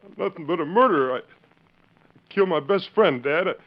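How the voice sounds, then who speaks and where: A young American cowboy son with a high-pitched voice, earnest and weighed down by guilt. He speaks haltingly and pleadingly in 1940s radio western style, on a dull, band-limited recording. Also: expressive. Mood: anguished. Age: young adult.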